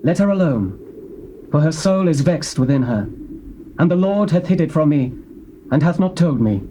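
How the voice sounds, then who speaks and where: An actor playing the prophet Elisha, speaking solemnly and with command in a dramatized scripture recording with faint tape hiss. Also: male voice, on the high side, expressive, normal speed, dark tone, natural voice, low-quality recording. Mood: concerned.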